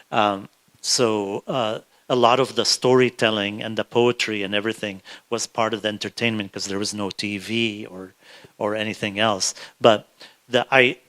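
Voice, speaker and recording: A man from South Lebanon talks as an author at a book event. He speaks haltingly, circling back and restarting his sentences, in an easy conversational tone before a live audience. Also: lowish voice, a bit bright. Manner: halting, many restarts and fillers.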